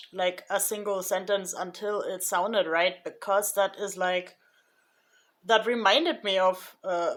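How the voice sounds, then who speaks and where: Slow, hesitant woman vlogger. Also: medium pitch, a bit bright. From Germany. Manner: hesitant, many fillers and restarts; slow, thoughtful delivery. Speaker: YouTuber. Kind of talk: casual vlog recap.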